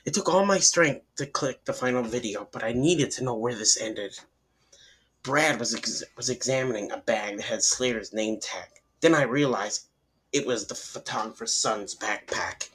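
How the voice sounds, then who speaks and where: A man's voice: medium pitch, normal speed, fairly bright tone, slightly rough, rough recording. A man reading a horror story aloud in dramatic first-person narration, sometimes stumbling over words and restarting.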